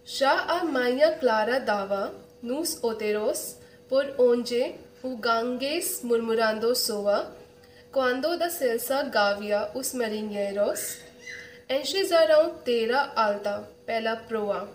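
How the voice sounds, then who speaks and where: Young woman, unhurried verse reading, echoey room with slight noise. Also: on the high side. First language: not Portuguese. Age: young adult. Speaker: poetry reader. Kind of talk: epic verse read aloud. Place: small room indoors.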